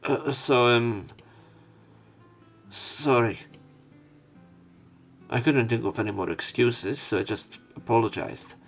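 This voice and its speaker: A man's voice: medium pitch, slow pace, long pauses, soft background music. A man reading a visual novel's narration and dialogue aloud for a let's play.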